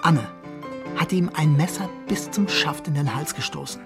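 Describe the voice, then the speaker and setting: A man's voice: a little high, normal speed, creaky. An actor playing a condemned husband who narrates his downfall in a detached, ironic, literary way for a radio drama. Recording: music bed behind the voice.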